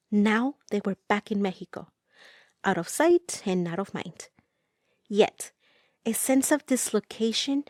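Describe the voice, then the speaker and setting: A woman's voice: mid-range voice, a little mellow, no echo, studio quality. A woman from the United States narrating a documentary about belonging, in an earnest, reflective way.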